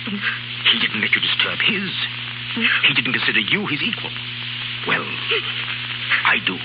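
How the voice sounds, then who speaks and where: An actor playing a wealthy, persuasive older baron in a 1930s radio drama, smooth and insistent in his theatrical delivery. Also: mid-range voice. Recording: old scratchy 1930s radio broadcast, muffled.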